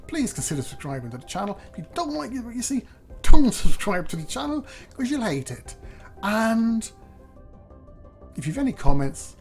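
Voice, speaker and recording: A slightly hoarse man, thinking aloud in chatty, self-deprecating gameplay commentary as host. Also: fairly high pitch, a little mellow. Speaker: let's play host.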